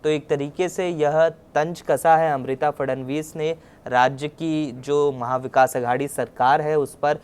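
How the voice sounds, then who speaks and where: A man's voice: on the high side, steady tone, a little slow, darkish tone, smooth. A man presenting a news report, explaining as he goes and often restating his points.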